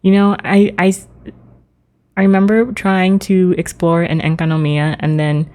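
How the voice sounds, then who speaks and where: Mellow, lowish-voiced woman streamer. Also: level tone, moderate pace. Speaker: game livestreamer. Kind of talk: casual livestream chat with viewers while playing.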